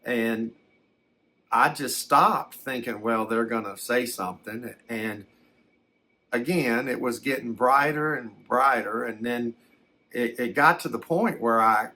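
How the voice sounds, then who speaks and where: A man voicing a folksy storyteller who recounts a frightening encounter in a halting, rambling ASMR style, often stammering and backtracking. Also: mid-range voice, bright, forceful tone. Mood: earnest, puzzled. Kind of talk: first-person ASMR storytelling.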